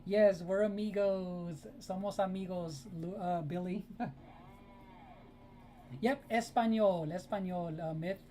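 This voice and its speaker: A woman streaming while she draws, chatting casually and greeting viewers by name. Her voice is mellow and warm, sitting at a middling pitch. Spanish is her first language. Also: steady tone, slow. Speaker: art streamer. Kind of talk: casual live-stream chat while drawing.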